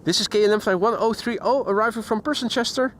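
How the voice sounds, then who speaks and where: A man with a fairly high voice talks casually through a live stream outdoors, greeting viewers by name and chatting between topics. A faint outdoor hum sits behind him, picked up by a camera-mounted mic. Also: fast, a bit bright. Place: outdoors near an airport. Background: faint outdoor traffic and voices.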